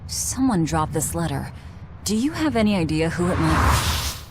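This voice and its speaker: A woman playing a cold, guarded young fighter in an anime game dub, blunt and deadpan. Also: on the low side, normal speed, heavy background noise. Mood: businesslike.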